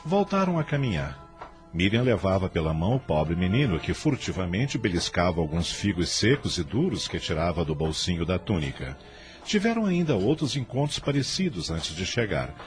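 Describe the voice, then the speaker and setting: Deep, expressive Brazilian male narrator. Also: moderate pace, faint music behind. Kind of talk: dramatic scripted story narration.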